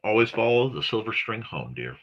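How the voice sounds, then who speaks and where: A man's voice: lowish voice, sing-song, a little fast, a bit bright, highs cut off. A man hosting a casual morning livestream, chatting with viewers in a rambling, chatty way and often correcting himself mid-sentence. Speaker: host of a morning talk stream.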